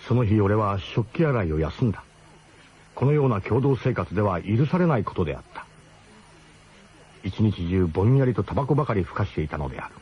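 Male narrator for a radio drama: colloquial, wry, lively pitch, on a slightly hissy old broadcast. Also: brisk, highs cut off. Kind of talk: dramatic first-person storytelling in a radio drama.

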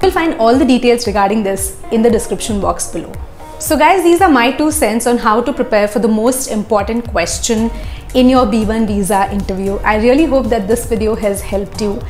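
A woman's voice: fairly high pitch, moderate pace, faint music behind. Young woman coaching to camera, roomy office. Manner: clear, instructive, steady. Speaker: visa interview coach. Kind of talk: advice video to camera. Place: office with glass walls.

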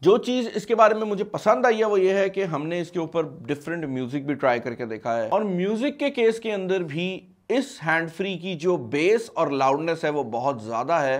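A man in his twenties with a Pakistani accent, hosting a casual product review and mixing in English words. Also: on the high side. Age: 20s. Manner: mixes English words and phrases into speech. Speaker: tech review host. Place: small studio at a desk, indoors.

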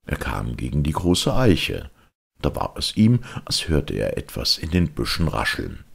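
A man narrating a children's audiobook in an expressive, theatrical storytelling style. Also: a bass voice, a little slow, gravelly, slightly rough.

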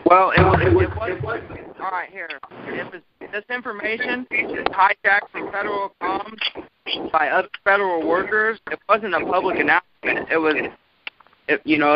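Higher-voiced man on a noisy phone line. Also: fairly even intonation, normal speed. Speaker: caller. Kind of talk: informal report to a group call. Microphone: telephone.

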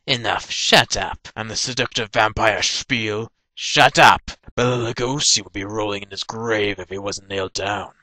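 A man's voice: fairly low pitch, normal speed, hardly any pauses, pushed and bright, dry recording. A man voicing a petulant, theatrical evil vampire priest in a parody cartoon. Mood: furious. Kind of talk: fan-dub parody cartoon acting.

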